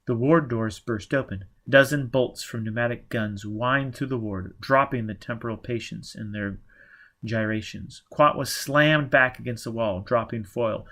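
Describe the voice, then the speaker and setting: A man narrates a novel as an audiobook reader, with a middle-pitched voice. He delivers the prose as dramatic, scripted storytelling. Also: normal speed, a bit bright.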